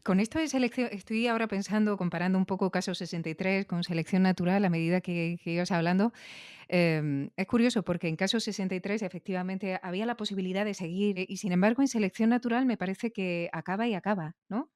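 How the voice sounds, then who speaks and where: A woman's voice: medium pitch, fast, darkish tone, clear, resonant tone, no echo, clean studio sound. A woman with a Peninsular Spanish accent hosting a conversational interview, chatty and musing aloud with frequent tag questions. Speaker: podcast interviewer, host.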